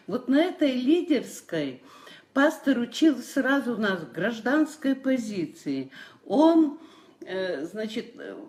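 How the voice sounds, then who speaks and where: A woman's voice: on the high side, darkish tone. A woman, giving a personal testimony as a church member. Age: 60s.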